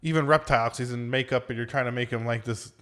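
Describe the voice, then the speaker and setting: A man's voice: medium pitch, fairly even intonation, quick pace, a bit bright. A man co-hosting a podcast, talking in a casual, slangy way full of "like" as he reviews films. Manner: informal slangy talk, frequent "like". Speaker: podcast co-host. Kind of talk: casual movie review chat.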